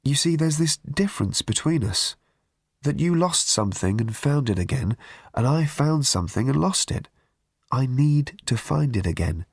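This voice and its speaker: A man's voice: mid-range voice, expressive, moderate pace, breathy edge, dry recording, clean studio sound, voice pushed up. A man doing an earnest, troubled young woman. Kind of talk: audiobook character voice.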